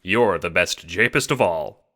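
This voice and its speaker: Deep, bright-voiced male narrator. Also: animated, a little slow. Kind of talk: scripted second-person story narration.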